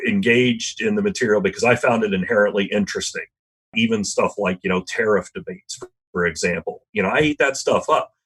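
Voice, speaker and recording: A man who teaches history, speaking reflectively as a podcast guest with a loud voice and frequent "you know" and "right?". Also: on the low side, husky, low-quality recording. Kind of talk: reflective conversational interview. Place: podcast interview, remote or studio.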